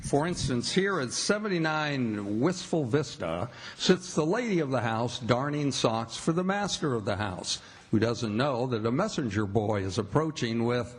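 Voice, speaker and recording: A man's voice: medium pitch, animated, moderate pace, breathy edge. A man announcing in scripted old-time radio style. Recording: loud music under the voice.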